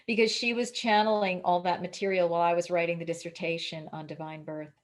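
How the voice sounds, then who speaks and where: A woman's voice: on the low side, flat delivery, fast talker. Emphatic woman in a spiritual interview. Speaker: interviewed author. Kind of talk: casual spiritual interview conversation.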